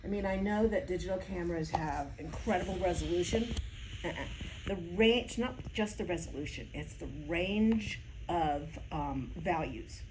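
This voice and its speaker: A woman's voice: on the low side, moderate pace. Chatty, enthusiastic woman with faint room noise. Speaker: artist being interviewed. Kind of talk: casual chat, explaining.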